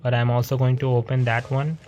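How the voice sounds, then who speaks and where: A man's voice: mid-range voice, little pitch movement, quick pace, darkish tone, dull audio, slight background noise. A man giving casual commentary as he plays a video game, reacting in short muttered phrases. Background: game music.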